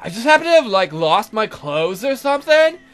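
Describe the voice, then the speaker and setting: Man putting on a whiny, high-pitched young maiden. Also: very animated, moderate pace, fairly bright tone, soft background music. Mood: defensive, embarrassed. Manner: whiny, slangy, peppered with "like". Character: young maiden, ditzy valley-girl type, exasperated.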